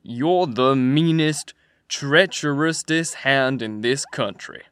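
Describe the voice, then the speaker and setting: A man's voice: medium pitch, lively intonation, a little slow, a bit bright, no echo. A man voicing an angry, violent male robber who threatens a bound captive. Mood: menacing.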